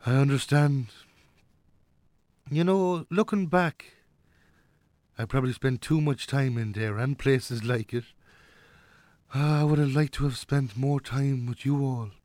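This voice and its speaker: An actor playing a gentle, wistful Irish father of a grown son in a naturalistic radio drama. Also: mid-range voice, unhurried, pauses often, no room sound, lightly acted. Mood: reflective, regretful.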